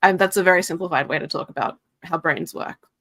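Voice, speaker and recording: Low, gravelly woman with a dry sound. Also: fairly quick, fairly bright tone, no echo. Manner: rambling, many "like" fillers, earnest. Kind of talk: casual livestream chat.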